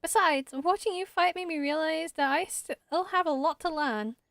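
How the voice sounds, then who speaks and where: Woman voicing high-pitched, earnest young forest ranger. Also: moderate pace, gravelly, fairly bright tone, dry recording, clean studio sound. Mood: warm, admiring. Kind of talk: video game dialogue voice-over. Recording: music behind the voice.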